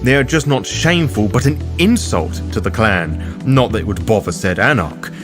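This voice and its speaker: A man's voice: lowish voice, lively intonation, normal speed. A man hosting a tabletop roleplaying lore guide, reading scripted explanatory narration. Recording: voice over loud music bed. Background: music.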